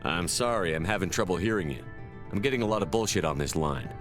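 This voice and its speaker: A man's voice: low-pitched, animated, fast, over music. A man playing a resolute soldier commander who talks in a firm, blunt and defiant way.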